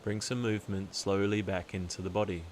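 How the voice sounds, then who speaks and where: A deep-voiced man leads a guided meditation. He speaks calmly and deliberately, leaving long, soothing pauses between phrases. A soft, steady hiss sits behind the voice.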